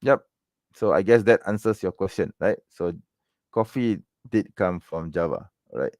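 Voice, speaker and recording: A man's voice: deep voice, animated, measured pace, a little mellow, dry recording. A man from Malaysia, raised in Penang, hosting a casual livestream Q&A, rambling with lots of fillers and "okay?" and "right?" tags. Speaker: livestream Q&A host. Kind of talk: casual livestream chat answering viewer questions.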